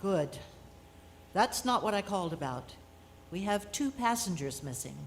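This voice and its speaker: A woman's voice: mid-range voice, sing-song, unhurried. A woman playing a dutiful spaceship first officer in a live radio drama, speaking in formal, procedural style. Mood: businesslike. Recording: echoey hall, long reverb, faint noise.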